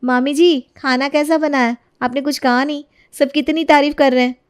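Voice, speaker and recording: A woman's voice: high-pitched, steady tone, moderate pace, voice pushed up, close to an everyday voice. A woman voicing an eager young newlywed daughter-in-law, sweet and coaxing, in an acted storybook tale. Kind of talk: acted Hindi storybook narration.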